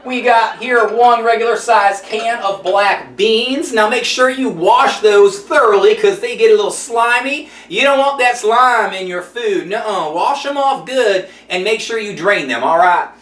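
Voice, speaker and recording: A man's voice: sits high, unhurried, few pauses, a bit bright. Sassy rambling grandma cook, played by a man, breathless and folksy; distant mic, echoey room. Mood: chirpy. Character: chatty sassy old grandma cooking host. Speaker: cooking show host. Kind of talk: comedic character monologue. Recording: roomy sound, mic at a distance.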